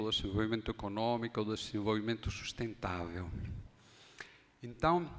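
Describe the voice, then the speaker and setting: A Spanish-born man with a Spanish accent, an academic speaker giving a measured, halting forum talk. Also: mid-range voice. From Spain. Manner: measured, halting, restarts phrases. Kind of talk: formal lecture talk. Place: conference hall. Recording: long hall reverb.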